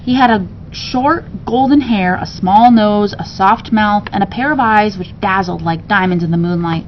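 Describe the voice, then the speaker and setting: A woman's voice: mid-range voice, normal speed, highs cut off, slight background noise. A woman reading a children's story aloud expressively, like a teacher to students, with casual asides.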